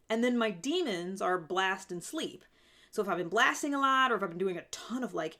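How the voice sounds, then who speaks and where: Female vlog host, mid-pitched voice, conversational and reflective, unscripted advice straight to viewers. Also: fairly bright tone. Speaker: video host sharing self-growth advice. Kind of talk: casual, unscripted talking to viewers.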